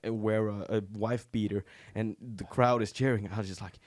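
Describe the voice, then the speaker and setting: A man's voice: lowish voice, steady tone, normal speed, a little mellow, no echo. A young man from rural Norway, a native Norwegian speaker, talking in a casual podcast chat with many fillers and restarts. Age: young adult. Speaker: podcast guest, comedian. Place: small studio room. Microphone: studio mic on boom arm, headphones.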